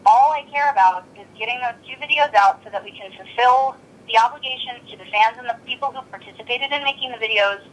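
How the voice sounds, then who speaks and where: A blunt, exasperated woman arguing on a recorded telephone call. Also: fairly high pitch. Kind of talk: tense phone argument.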